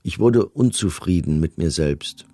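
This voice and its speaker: A middle-aged commandant gives testimony in a radio play. His voice is low and dark, with a gravelly rasp. He speaks in a measured, bureaucratic way, detached and matter-of-fact. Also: lively intonation. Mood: sombre, confessional. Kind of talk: documentary radio play, reading written testimony.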